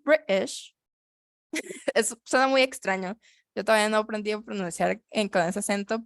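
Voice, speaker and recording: A woman teaching English over a video call, explaining slowly in Spanish and repeating words so her student can follow. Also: medium pitch, no room sound, studio quality. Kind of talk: online English lesson.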